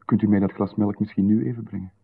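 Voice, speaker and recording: An actor playing an intrusive, oddly demanding male visitor, calm and coolly insistent. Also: fairly low pitch, fast, creaky, slight background noise. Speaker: radio drama actor. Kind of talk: 1990s radio play acting. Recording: tinny narrow-band radio sound.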